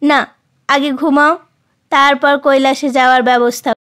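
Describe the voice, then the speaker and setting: A woman voicing a calm, teasing, affectionate old grandmother, matter-of-fact and unhurried, in a children's audio story. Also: high voice. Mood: firm.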